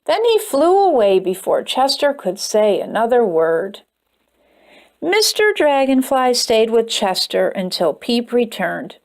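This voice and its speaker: Woman storyteller reading to kids, very expressive, sing-song, unhurried. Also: on the high side. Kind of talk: children's read-aloud.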